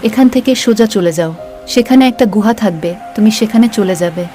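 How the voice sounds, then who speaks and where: A woman's voice: mid-range voice, moderate pace, warm tone, soft background music, natural voice. A woman voicing a grateful, reassuring talking fish in a fairy-tale cartoon. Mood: helpful, reassuring. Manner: friendly, reassuring.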